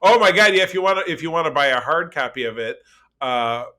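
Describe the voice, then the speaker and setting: A man co-hosting a casual pop culture podcast, talking in a halting, rambling, informal way. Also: a little high, expressive, pushed and bright. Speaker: podcast co-host.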